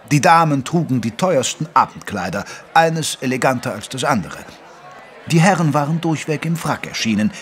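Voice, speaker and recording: Male narrator, medium pitch: genteel period doctor telling a mystery played by an actor, measured and literary. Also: a little hiss or hum, lightly acted. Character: genteel Victorian doctor sidekick, good-natured man. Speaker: narrator and sidekick doctor in audio drama. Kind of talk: scripted narration, German audio drama.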